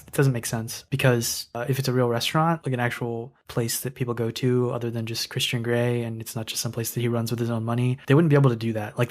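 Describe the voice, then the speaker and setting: Male podcast co-host, conversational and nitpicking, halting delivery with repeated words, argumentative. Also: medium pitch. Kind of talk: casual book commentary.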